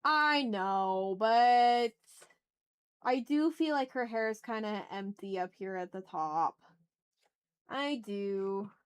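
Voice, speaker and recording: A woman with a mid-pitched voice hosts a casual livestream. She rambles informally, half talking to herself and half to viewers, with the odd mild swear. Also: takes it slowly, clear, resonant tone. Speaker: art livestream host. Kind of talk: casual rambling chat while drawing.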